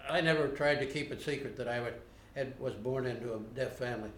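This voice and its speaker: Older man, interviewee; plainspoken and unhurried, recorded on a roomy camera mic. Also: medium pitch, a little hiss or hum. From United States. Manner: unhurried, plainspoken, frequent uh pauses. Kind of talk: unscripted recollection. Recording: roomy home video recording.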